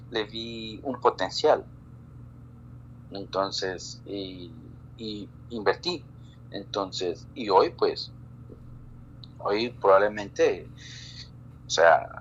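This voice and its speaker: A man from El Salvador speaks in a very low, deep voice, stumbling through restarts and fillers as he chats casually on a live stream. The sound is a little dull and lo-fi, with a faint hum underneath. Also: a bit bright. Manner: halting, many restarts and fillers. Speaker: guest.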